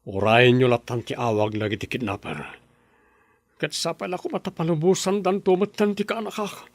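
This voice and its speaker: A man's voice: fairly high pitch, steady tone, moderate pace, dry recording. A man playing a scheming uncle who plots a kidnapping and falsely comforts the family in a radio drama. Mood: falsely soothing. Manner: smooth, sly, falsely soothing. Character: sly uncle secretly plotting a kidnapping. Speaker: uncle, Manong Lito.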